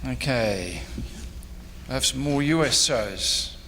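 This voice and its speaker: A man's voice: medium pitch, animated, slow, fairly bright tone. A man giving an informal history lecture, reading from notes with casual asides. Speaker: presenter, radio historian. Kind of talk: slide show lecture. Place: convention presentation room. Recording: echoey hall, faint background noise.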